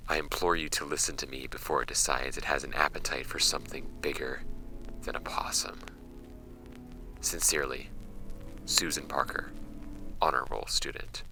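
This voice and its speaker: An audio drama archivist, played by a man, reads case files in an extremely deep voice. It crackles with vocal fry, and music plays clearly underneath. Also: fairly even intonation, normal speed, long pauses. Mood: hushed dread. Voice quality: creaky, very deep. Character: dry, skeptical male archivist recording case notes. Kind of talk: scripted audio drama narration, reading case files aloud.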